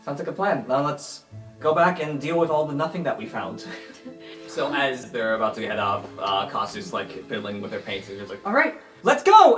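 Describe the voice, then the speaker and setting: A casual tabletop game player speaks with a very animated, swinging pitch. The room echoes and music plays faintly behind as the player improvises. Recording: roomy echo, music behind.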